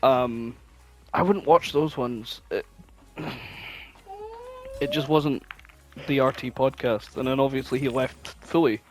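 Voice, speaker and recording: A man's voice: mid-range voice, fairly even intonation, slow, long pauses, faint music behind, rough recording. A man chatting casually as a podcast co-host, blunt, opinionated and free with swearing. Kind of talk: casual chat, reminiscing.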